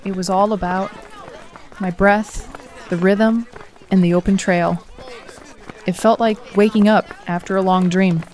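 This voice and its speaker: A woman's voice: mid-range voice, steady tone, unhurried, pauses often, a little mellow, lightly acted. A woman playing an earnest, reflective runner who narrates her own story in an audio drama. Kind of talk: first-person story narration with acted dialogue.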